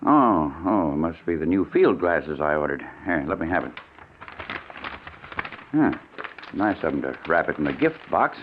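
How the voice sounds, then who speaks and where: A man's voice: rumbling low, sing-song, moderate pace, pauses often, background noise. An actor playing a jumpy, irritable, blustering man in an old-time radio mystery. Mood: curious, uneasy. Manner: blustering, hesitant when alarmed, snappish. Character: wealthy, jumpy, irritable man hounded by vengeance. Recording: muffled, tinny old radio recording.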